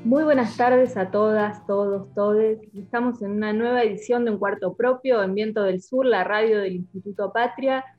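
A woman's voice: mid-range voice, fairly even intonation, normal speed, hardly any pauses, soft background music. Woman radio host, dull sound. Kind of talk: radio interview.

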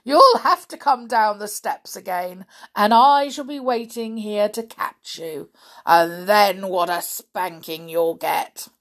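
A woman voicing a huge, dim, bad-tempered male giant in a storybook, bullying and loud. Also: mid-range voice, slow pace. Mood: mocking. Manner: roaring, thundering, muttering over sums.

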